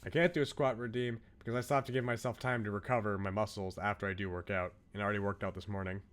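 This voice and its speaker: A man's voice: on the low side, fairly even intonation, fast, fairly bright tone. A man streaming a visual novel, reading aloud expressively and adding chatty asides. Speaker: game streamer. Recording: game music behind the voice. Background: video game music.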